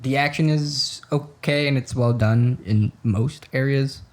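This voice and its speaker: A man co-hosting a movie review podcast in easygoing, joking casual chat, often agreeing with quick remarks. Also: mid-range voice. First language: not Korean. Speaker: podcast co-host.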